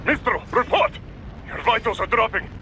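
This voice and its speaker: A man's voice: very high-pitched, normal speed, music in the background, poor audio. An actor playing a distraught, devoted man with an Eastern European accent, urgent and pleading in a video game cutscene. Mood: alarmed, pleading.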